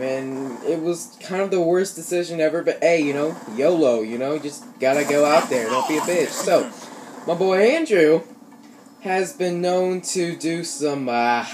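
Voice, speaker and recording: A man's voice: fairly high pitch, a little mellow. Young American guy storytelling over noisy gameplay. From Ohio, United States. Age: teenager or young adult. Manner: slangy, frequent swearing. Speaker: gaming YouTuber. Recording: noisy home recording, gameplay sounds behind. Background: shooter game sounds.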